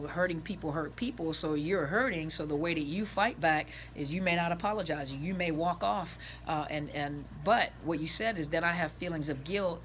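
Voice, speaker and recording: A woman counselor with a raspy voice gives informal advice to viewers, rambling earnestly and restarting her phrases, recorded indoors on a camera set on the floor. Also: deep voice, fairly bright tone, a little hiss or hum. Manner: gestures with her hands as she talks.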